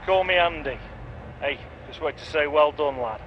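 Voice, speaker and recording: An actor playing a friendly, teasing footballer in a game. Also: male voice, a little high, normal speed, close to an everyday voice. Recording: dull game audio, faint outdoor noise.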